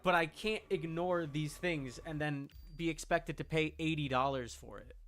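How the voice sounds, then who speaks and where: Bright, forceful male game streamer. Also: fairly high pitch, normal speed. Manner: opinionated, emphatic, argumentative. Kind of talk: casual livestream chat while playing a video game.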